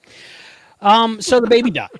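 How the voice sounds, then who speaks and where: A man's voice: very high voice, lively intonation, normal speed, no room sound, lo-fi sound. A man chatting casually as a podcast co-host, discussing things in a loose conversational style. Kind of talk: casual chat, episode discussion.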